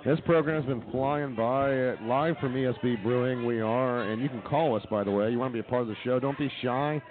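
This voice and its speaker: A man's voice: medium pitch, a little fast. American male radio host, slightly dull audio. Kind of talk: casual sports talk radio. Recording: muffled, band-limited live broadcast.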